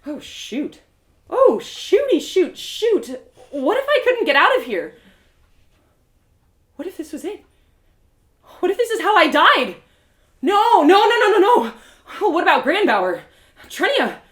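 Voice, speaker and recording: A woman's voice: extremely high pitch, big pitch swings, a little slow, pauses often, fairly bright tone, pitched above the performer's own voice, exaggerated voice. A woman playing a plucky, easily panicked young heroine in an audio drama, talking to herself in emotional exclamations. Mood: pained, panicking. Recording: roomy, echoey sound.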